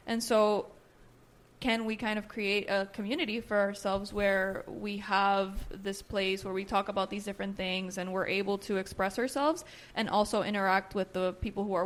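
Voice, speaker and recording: A young woman from Nicaragua whose first language is Spanish, co-hosting a therapists' podcast in a relaxed chatty way and leaning on "you know". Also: medium pitch. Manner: conversational, fills with "you know" and "right". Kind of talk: casual chat.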